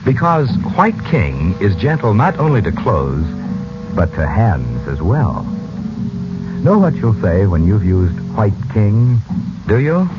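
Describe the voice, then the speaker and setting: A male announcer in 1940s radio style with a deep voice that rises and falls freely. He is persuasive and emphatic, over loud music on a dull old recording. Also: wildly swooping pitch, slow pace.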